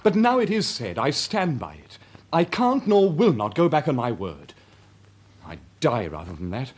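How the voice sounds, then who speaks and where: Earnest, husky young English gentleman. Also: medium pitch, huge pitch swings, normal speed. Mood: defiant, passionate. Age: young adult. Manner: earnest, defiant, formal. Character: earnest, lovestruck, stubborn eighteen-year-old young gentleman. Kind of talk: British radio period drama acting.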